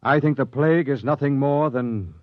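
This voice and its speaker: A man's voice: mid-range voice, moderate pace, dry recording, lightly acted. Man acting, driven space captain, serious 1950s radio delivery, dry close sound, dull old recording. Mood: probing, intense. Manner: intense, probing. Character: earnest, driven spaceship captain facing a deadly plague. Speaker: lead actor and narrator. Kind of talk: 1950s radio drama acting, first-person narration.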